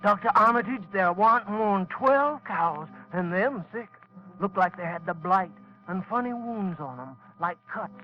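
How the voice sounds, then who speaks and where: Jittery young farm boy, rustic dialect, high voice, dull old radio sound. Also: expressive, a little slow. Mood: uneasy. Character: young farm lad, nervous witness. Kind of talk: 1940s radio drama acting.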